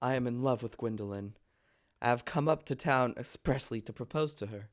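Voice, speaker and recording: A man reading the part of an earnest, easily exasperated upper-class gentleman in love, in a theatrical, lofty manner for a stage comedy. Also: medium pitch, a little fast, natural voice. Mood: lovestruck, lofty.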